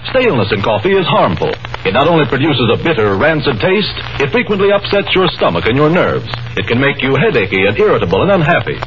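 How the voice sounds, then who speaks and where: American male announcer, raised pitch, earnest sales delivery, dull old radio sound. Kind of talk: 1930s scripted radio advertisement. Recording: dull 1930s radio broadcast.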